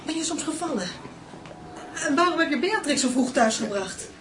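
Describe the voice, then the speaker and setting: A woman's voice: high voice, lively intonation, normal speed, background noise. An actress playing an emotional, accusing wife and mother, shocked and hurt, in a radio drama. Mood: worried.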